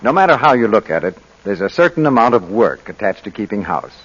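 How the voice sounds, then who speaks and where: A male radio announcer reads a 1940s advertisement. His voice is lowish and husky, with lively rises and falls. The old recording is dull. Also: fast, a faint crowd.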